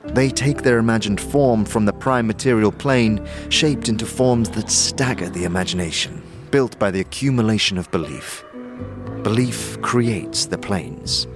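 A man voicing a patient, learned sage in a fantasy audio drama, lecturing formally and deliberately. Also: lowish voice, lightly acted. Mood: earnest, instructive. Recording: music behind the voice.

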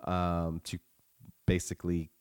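A man's voice: deep voice, completely flat delivery, measured pace, darkish tone, clear, resonant tone, no room sound, clean studio sound. A man giving a casual podcast review while getting over the flu.

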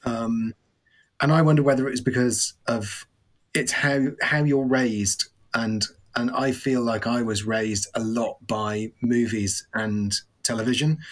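A man from Coventry co-hosting a podcast, talking in a thoughtful, measured, dry way. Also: medium pitch. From Coventry, English Midlands. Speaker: podcast co-host. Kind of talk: casual conversation.

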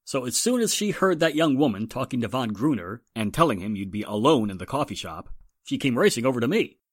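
A man's voice: mid-range voice, sing-song, a little fast, no echo, clean studio sound. A man playing an earnest colleague of a newspaperwoman in a 1940s-style radio drama. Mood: relieved, admiring.

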